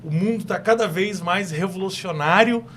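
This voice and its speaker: A young man with an Espírito Santo accent, talking eagerly as a talk show guest. His voice sits a little high, and he often circles back to restart a phrase. A faint hiss runs underneath him. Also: moderate pace, a bit bright. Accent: Brazilian Portuguese from Espírito Santo. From Espírito Santo, Brazil. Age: young adult. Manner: enthusiastic, repeats and restarts phrases. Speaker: guest entrepreneur.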